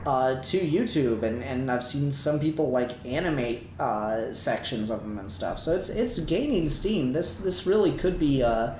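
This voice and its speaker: A man's voice: medium pitch, expressive, measured pace, hardly any pauses, darkish tone. A man casually discussing a review as a podcast co-host, rambling and thinking aloud as he goes. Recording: roomy echo, clear background noise.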